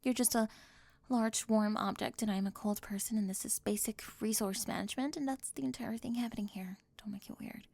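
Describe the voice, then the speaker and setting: A young woman voicing a sharp-tongued cheerleader bully for an ASMR roleplay, sarcastic and defensive, often dropping to a whisper close to the mic. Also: medium pitch, steady tone, brisk, gravelly.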